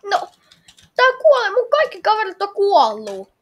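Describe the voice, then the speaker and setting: A woman playing a game and reacting out loud in a dramatic, exclamatory, pleading way. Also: very high-pitched, wildly swooping pitch. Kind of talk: excitable reactive gameplay chat.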